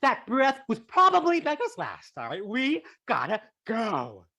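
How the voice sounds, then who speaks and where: A woman's voice: a little high, huge pitch swings, a little slow, bright, forceful tone, heavily acted. A woman playing a scared but pragmatic young camper in a staged reading, speaking insistently. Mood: urgent pleading.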